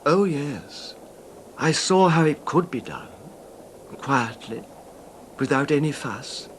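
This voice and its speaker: Genteel, quietly sinister husband. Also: male voice, a little high, animated. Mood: quietly sly. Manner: measured, understated, genteel, hesitant. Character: quiet, controlled cuckolded husband calmly confessing his revenge. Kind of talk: 1970s radio drama acting. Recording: noisy 1970s radio drama recording.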